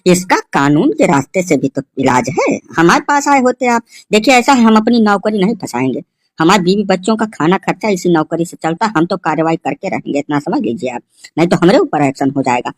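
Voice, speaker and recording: A man's voice: high voice, very animated, fairly quick, no echo. Man acting a stern police inspector, dry sound. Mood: firm. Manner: officious, questioning, firm. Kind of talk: comedy cartoon dialogue.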